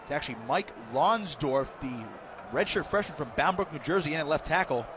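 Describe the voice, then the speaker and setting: A high-pitched American man calls football live on the radio. He describes each play in excitable, clipped phrases, with clear stadium noise around him. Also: fairly quick. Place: press box high above a stadium. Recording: loud stadium noise, outdoor broadcast.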